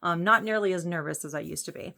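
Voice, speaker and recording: A woman's voice: fairly low pitch, quick pace, gravelly, pushed and bright. A woman in her late thirties speaking casually and candidly as a vlogger, with an earnest, informal, rambling manner. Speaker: vlogger introducing own channel. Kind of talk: casual, candid talk to camera.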